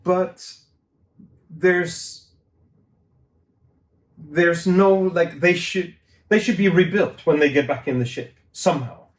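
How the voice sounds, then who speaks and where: A man's voice: on the high side, slow pace, pauses often. Man chatting casually in an echoey room. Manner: rambling, self-correcting, laughs often. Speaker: game studio staff, co-host. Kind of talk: casual Q&A chat.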